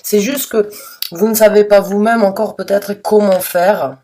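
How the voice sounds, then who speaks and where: A slightly hoarse woman giving a spontaneous tarot reading to her viewers, halting and restarting often, with earnest, reassuring advice. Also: mid-range voice, fairly even intonation, dark tone, a little hiss or hum. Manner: hesitant, halting with many restarts and fillers. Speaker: tarot reader.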